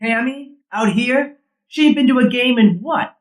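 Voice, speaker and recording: An actor playing a placating, casually profane softball teammate in an audio drama. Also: male voice, extremely high pitch, normal speed. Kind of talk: naturalistic audio drama acting. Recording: roomy, echoing sound.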